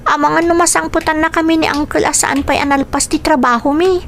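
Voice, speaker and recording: A woman's voice: sits high, fairly even intonation, a little fast. A woman playing a dutiful, weary young girl with a respectful, plaintive way of talking in a radio drama. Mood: aggrieved, weary. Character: dutiful, weary young girl living under her uncle's roof.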